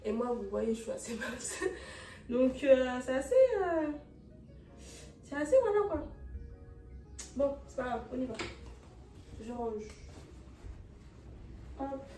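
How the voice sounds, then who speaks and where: A woman's voice: high voice, expressive, slow, pauses often, warm tone. A woman vlogging casually while she tidies her bedroom. She speaks French as her second language, chats with many fillers and restarts, and slips in English phrases. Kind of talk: casual chat while tidying. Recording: roomy and distant, with faint music.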